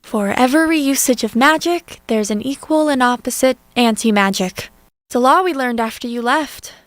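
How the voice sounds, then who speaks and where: A woman's voice: high-pitched, normal speed, dry recording, close to an everyday voice. A woman playing a resentful princess long trapped in a castle, in a fantasy audio drama. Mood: bitter. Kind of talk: stage-style fantasy audio drama acting.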